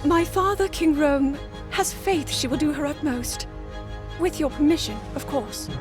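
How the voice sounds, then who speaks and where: Earnest young princess. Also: female voice, high-pitched, a little slow, over music. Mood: formal. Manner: earnest, formal, polite. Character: earnest young human princess, dutiful and worried. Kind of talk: video game cutscene acting. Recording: game score underneath.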